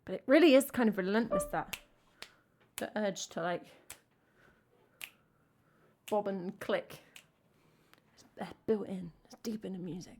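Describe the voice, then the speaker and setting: A woman's voice: medium pitch, unhurried, long pauses, slight background noise. A woman from England with an English accent, a singer-songwriter chatting casually and self-mockingly between songs on her live stream. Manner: chatty and self-mocking, with soft trailing asides.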